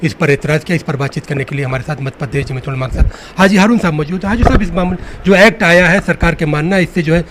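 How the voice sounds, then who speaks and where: A man's voice: fairly high pitch, quick pace, few pauses. A man reporting from the field for TV news and putting wordy questions to a guest. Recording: clearly noisy location sound.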